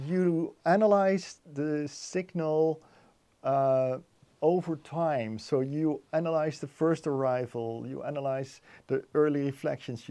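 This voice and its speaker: A man giving a technical explanation as an interview guest, haltingly, often repeating words as he thinks. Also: medium pitch, warm tone. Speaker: interview guest, loudspeaker designer.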